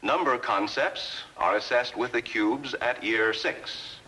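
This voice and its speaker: A man with an American accent reads formal, scripted narration for a 1950s instructional film, measured and precisely enunciated. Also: mid-range voice, bright, forceful tone, low-quality recording. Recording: vintage 1950s film soundtrack.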